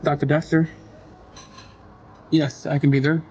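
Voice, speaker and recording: An actor playing a reserved, kindly male surgeon in an audio drama, soft-spoken, polite and a little hesitant. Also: on the high side, flat delivery, unhurried, lo-fi sound, a little hiss or hum, natural voice. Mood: businesslike.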